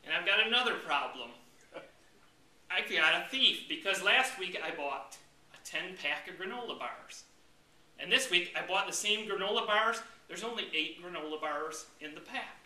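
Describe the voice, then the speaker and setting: A man's voice: sits high, animated, normal speed, bright, forceful tone. An American man giving a humorous tall-tale speech in a theatrical, mock-indignant storytelling manner, acting out his lines. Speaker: club speaker. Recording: roomy, distant mic with background noise.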